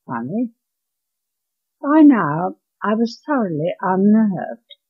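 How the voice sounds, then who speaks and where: A woman in her nineties with an English accent reads her own story aloud in measured, deliberate phrases. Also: medium pitch, big pitch swings, husky. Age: 90s. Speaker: author reading own story. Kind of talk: scripted storytelling, read aloud. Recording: dull lo-fi home recording.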